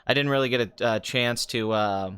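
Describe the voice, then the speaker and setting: A man hosting a live stream podcast in a free-form, rambling chat, joking crudely, with a slightly rough voice. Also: mid-range voice, moderate pace, fairly bright tone.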